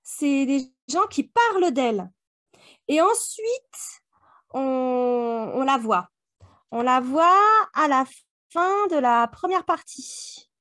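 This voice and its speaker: High-pitched woman in close, dry sound. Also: lively intonation, takes it slowly, a bit bright, no room sound. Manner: reflective, poses rhetorical questions. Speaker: book club podcast co-host. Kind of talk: casual book discussion chat.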